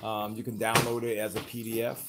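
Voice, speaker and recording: A man's voice: lowish voice, completely flat delivery, a little fast, very noisy. Chatty man reading out live chat. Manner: chatty, reads viewer comments aloud with laughs. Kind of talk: casual livestream chat. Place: home kitchen, off camera.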